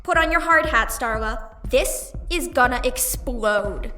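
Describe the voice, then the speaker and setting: A vain teenage mean girl, high and sharply bright. Her snide drawl swings about with spoiled, scheming self-regard, as in an audio comedy. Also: expressive, unhurried, distant mic, soft background music. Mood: snide, smug.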